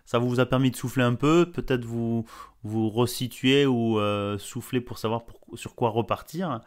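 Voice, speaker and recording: A man's voice: medium pitch, steady tone, normal speed. A man hosting a podcast interview, chatty and full of fillers and friendly digressions. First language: bilingual French-Spanish. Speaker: podcast host. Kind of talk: casual interview chat.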